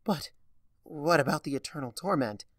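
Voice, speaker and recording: A man's voice: on the high side, moderate pace, no room sound, clean studio sound, pitched above the performer's own voice, natural voice. A man voicing a frightened, contrite young female unicorn who speaks hesitantly in a story reading. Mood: anxious confusion. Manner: hesitant, timid, faltering.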